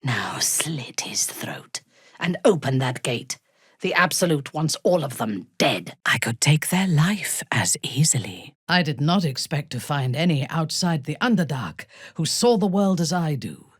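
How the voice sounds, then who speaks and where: A woman playing a haughty, ruthless dark elf warrior in a video game, speaking in an imperious, deliberate, measured way. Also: deep voice, sing-song, breathy edge, dry recording, clean studio sound, heavily acted. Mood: menacing, contemptuous. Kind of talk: dramatic video game character acting.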